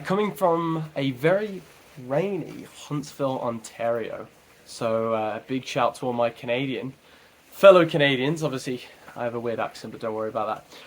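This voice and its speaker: A man's voice: medium pitch, a little hiss or hum, poor audio. A man hosting a fan channel, talking casually on his own, often correcting himself mid-sentence. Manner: chatty, self-correcting, rambling. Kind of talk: casual solo commentary.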